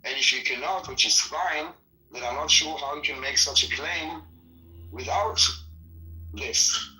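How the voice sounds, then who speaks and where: A man whose first language is Hebrew argues in an online debate, insistent and repetitive, stumbling over his words when pushed. His voice is bright and pitched a little high. It sounds roomy and set back from the mic. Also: measured pace, recorded from a distance. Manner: insistent, repeats himself, stammers when pressed.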